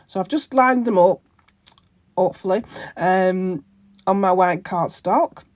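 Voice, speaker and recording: A woman with a croaky voice from a bad cold, hosting her own crafting channel and talking through a project in a rambling way, with many ums and self-corrections. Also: medium pitch, unhurried, a little mellow, no echo. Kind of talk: casual crafting tutorial narration.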